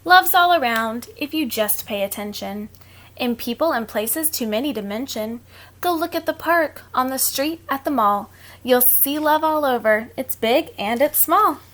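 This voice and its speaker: A woman's voice: sits high, unhurried. A woman reading picture books aloud to children like a teacher, expressively, with simple casual asides. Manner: expressive, simple explaining to young listeners.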